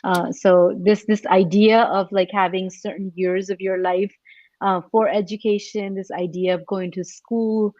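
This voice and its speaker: A woman speaking as a panelist in a casual, reflective way, pausing as she thinks. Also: medium pitch, little pitch movement, a little mellow, no room sound, muffled recording. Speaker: podcast panelist.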